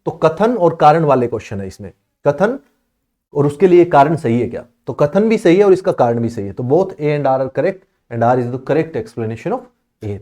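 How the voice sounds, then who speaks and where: Man teaching with a mellow voice. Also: medium pitch. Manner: reads English question text slowly, explains in Hindi with frequent "theek hai na". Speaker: exam coaching teacher. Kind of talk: explaining exam questions.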